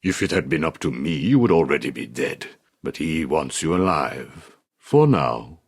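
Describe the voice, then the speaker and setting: An actor playing a cold, calculating, arrogant mastermind villain in a video game, with a gravelly voice and a deliberate, smug, threatening delivery. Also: male voice, extremely low pitch, very animated, full character voice. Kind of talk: scripted video game dialogue.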